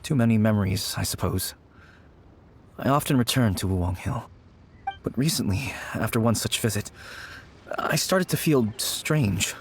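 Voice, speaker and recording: A man's voice: fairly low pitch, normal speed, slight background noise, lightly acted. An actor playing an anxious young man in a game, haunted by the loss of his childhood friend, speaking in a subdued, hesitant way. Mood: wistful. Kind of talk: game voice acting.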